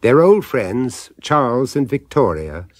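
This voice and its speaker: An actor playing the measured, dramatic narrator of a radio horror drama. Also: male voice, mid-range voice, big pitch swings, a crowd in the background. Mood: measured, suspenseful. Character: measured storyteller of a supernatural tale.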